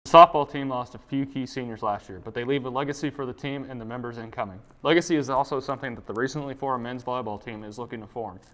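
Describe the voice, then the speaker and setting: Brisk teenage student sports anchor. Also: male voice, mid-range voice, a bit bright, rough recording. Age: teens. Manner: brisk, read from script. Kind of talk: scripted news reading. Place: school TV studio.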